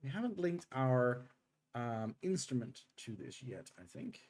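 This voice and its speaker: A middle-aged man with a tired throat, streaming live game development and talking through his code in halting, unhurried steps. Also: mid-range voice, sing-song, a little mellow. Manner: halting, thinks aloud with many pauses and um's. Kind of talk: live coding stream, thinking aloud.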